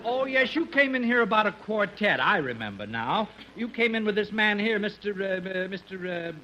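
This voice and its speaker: An actor playing a muddled, absent-minded, fussy lawyer in an old radio comedy, flustered and emphatic. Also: male voice, high voice, lively intonation, normal speed, bright, forceful tone, highs cut off. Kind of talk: 1950s radio comedy acting. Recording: noisy old radio recording, studio audience.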